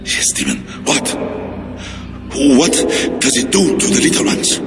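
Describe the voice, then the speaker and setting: A man's voice: a little high, wildly swooping pitch, a little slow. An actor voicing an unstable, scheming medieval king who swings between frightened pleading and sly mockery. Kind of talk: video game cutscene. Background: music.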